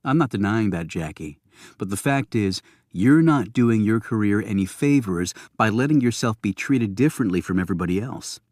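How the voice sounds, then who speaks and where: A man's voice: on the low side, lively intonation, moderate pace, darkish tone, dry recording, clean studio sound. A man voicing a blunt federal agent who talks firmly, in a thriller audiobook. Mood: stern. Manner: blunt, firm. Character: blunt male federal agent giving stern advice.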